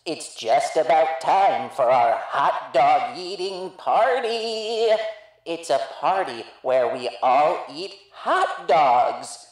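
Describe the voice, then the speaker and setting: A woman voicing a showy roller rink announcer in a comedic table read, drawn-out, over an echoing PA. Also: low-pitched, lively intonation. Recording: heavily echoing PA-style sound.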